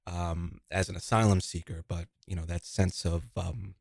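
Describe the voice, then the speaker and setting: A man's voice: deep voice, level tone, normal speed, creaky, a little mellow, dry recording, studio quality. A man hosting an interview podcast, talking casually and often restarting his phrases. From New York. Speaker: host.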